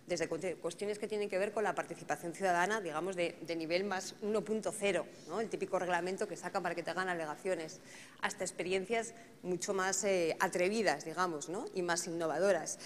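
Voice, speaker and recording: A woman's voice: on the low side, fairly even intonation, brisk. A woman moderating a conference panel, thinking aloud and often checking in with tag questions. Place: conference hall. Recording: conference hall reverb, faint ambience.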